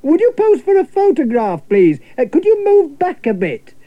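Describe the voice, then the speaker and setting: A man doing a shrill bossy newspaper photographer lady. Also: big pitch swings, moderate pace. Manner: high bossy directing. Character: fussy eager female newspaper photographer. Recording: tinny phone-like radio sound.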